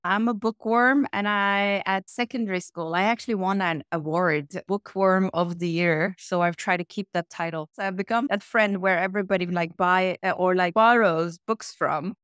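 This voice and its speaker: A middle-aged woman from Iran who grew up in Norway, answering interview questions in a lively, reflective way and listing things in runs. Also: mid-range voice, normal speed, few pauses, clear, resonant tone, dry recording, clean studio sound. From Iran, raised in Norway. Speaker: interview guest, tech executive.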